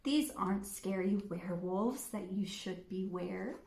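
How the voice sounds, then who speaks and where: A woman's voice: medium pitch, a little slow, gravelly, a little mellow, echoey room, voice pushed down. A woman voicing a plucky, confident fairy-tale girl in a children's storybook. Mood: dismissive. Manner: confident, nonchalant, bold. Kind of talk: children's storybook read-aloud.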